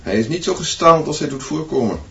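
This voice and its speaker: A man's voice: a little high, lively intonation, fast, gravelly, fairly bright tone. An actor playing a grave male schoolteacher who speaks in solemn, declarative statements in a radio drama. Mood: solemn, firm. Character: grave male schoolteacher explaining a colleague's downfall. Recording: echoey room, dull sound.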